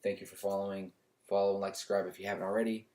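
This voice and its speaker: A man with a loud, low voice vents his indignation straight to the camera at home. He keeps his pitch level and flat, circles back over the same points and restarts his sentences as he talks. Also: rapid-fire. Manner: indignant, repetitive, restarts often. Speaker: YouTuber. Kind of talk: casual opinion rant to camera.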